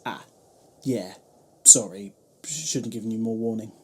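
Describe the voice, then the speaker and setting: A man's voice: on the low side, moderate pace, a little hiss or hum, lightly acted. A man playing a breezy, cheeky alien time-traveller in a fan-made audio drama, teasing and nonchalant. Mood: casual, guiding.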